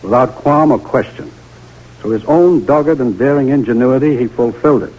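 A man acts out an approving, formal American major in an old radio play. His pitch is middling and the vintage recording sounds dull. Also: moderate pace, a little mellow, natural voice. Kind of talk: 1940s radio drama acting.

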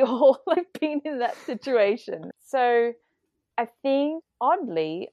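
A young woman from Bali, a guest speaking thoughtfully in a casual conversation, pausing to choose her words. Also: medium pitch, no room sound, muffled recording. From Indonesia (Bali). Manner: reflective, thoughtful, with hesitations.

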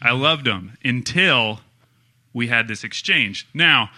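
A man's voice: medium pitch, animated, slow pace, sharp tone. A man on a podcast telling a dry, self-deprecating story about an early morning trip. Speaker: podcast cohost.

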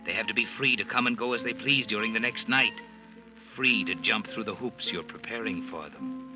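An actor playing an ominous, insinuating narrator who taunts a guilty schemer in old-time radio suspense style. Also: male voice, fairly low pitch, close to an everyday voice. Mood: knowing, sardonic. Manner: insinuating, taunting second-person address. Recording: muffled old radio recording with loud music.

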